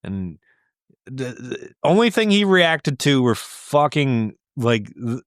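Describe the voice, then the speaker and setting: A man with a mid-pitched voice co-hosts a comedy podcast. He talks casually and jokingly, swearing freely, on a close microphone with very little room sound. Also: very animated, takes it slowly, no echo. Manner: profane, joking. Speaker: podcast co-host. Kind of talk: casual comedic banter, reading listener letters.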